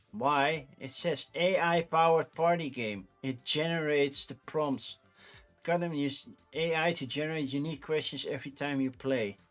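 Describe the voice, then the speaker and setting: A Dutch man in his forties with a Dutch accent, chatting casually and rambling on a livestream, with frequent restarts. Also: fairly high pitch. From Netherlands. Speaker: streamer.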